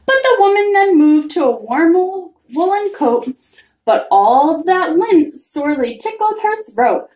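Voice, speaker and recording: Female storyteller for children's picture book, very animated singsong read, roomy sound. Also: very high voice, a little slow. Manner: expressive, singsong rhyming delivery. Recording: roomy, echoing room sound.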